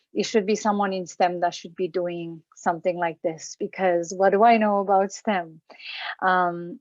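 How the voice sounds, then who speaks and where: A woman's voice: lowish voice, dry recording, lo-fi sound. A woman from Romania in her forties giving an earnest personal talk as a guest speaker at an online event, with many fillers and restarts.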